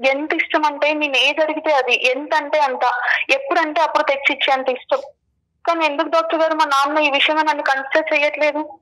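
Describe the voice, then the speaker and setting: A woman's voice: sits high, flat delivery, fairly quick, sharp tone, rough recording. A woman, earnestly explaining a personal problem and questioning as a caller. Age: 20s.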